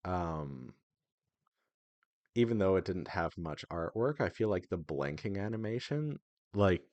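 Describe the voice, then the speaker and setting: Low-voiced man rambling casually, close dry sound. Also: animated, a little slow, a little mellow, dry recording, lo-fi sound. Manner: rambling, hesitant, thinking aloud. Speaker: indie visual novel developer. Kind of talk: casual devlog update, unscripted.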